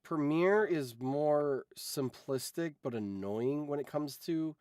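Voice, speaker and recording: A man's voice: a little high, animated, slow pace, a little mellow, clear, resonant tone, no room sound, studio quality. A man co-hosting a stream, chatting casually about video editing in a hesitant, thinking-aloud way. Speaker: stream co-host commenting on editing. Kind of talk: casual tech chat.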